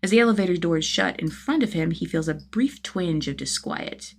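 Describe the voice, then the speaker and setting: A woman reading a story aloud as an expressive scripted narration, with a slightly husky voice. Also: lowish voice, normal speed, a little hiss or hum. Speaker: audiobook-style narrator of fan fiction.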